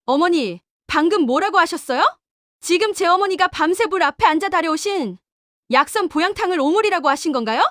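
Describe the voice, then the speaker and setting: A woman's voice: very high-pitched, animated, brisk, a bit bright, dry recording, clean studio sound, voice pushed up. A woman voicing a young daughter-in-law who questions her mother-in-law in a tense, pointed way. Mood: cold anger. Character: wronged young daughter-in-law, cold and defiant.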